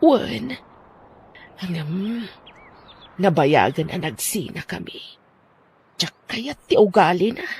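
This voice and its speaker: A woman playing slow-spoken remorseful wife Erma. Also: on the low side, sing-song, a little mellow, slight background noise, full character voice. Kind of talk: Ilocano radio drama acting.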